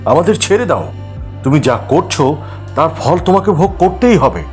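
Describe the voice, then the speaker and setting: Man playing a high-pitched earnest fairy prince. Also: very animated, moderate pace, a little mellow, soft background music. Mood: indignant. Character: earnest, indignant young male fairy prince. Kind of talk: fairy-tale cartoon acting.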